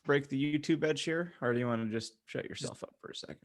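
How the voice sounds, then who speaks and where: A man's voice: medium pitch, fast talker. A man co-hosting a podcast in casual, joking conversation. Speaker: podcast co-host.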